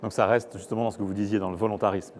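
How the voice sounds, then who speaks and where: Lowish-voiced male interviewer. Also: flat delivery, very fast, a little mellow, a little hiss or hum. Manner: hesitant, roundabout phrasing of questions. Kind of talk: broadcast interview questioning.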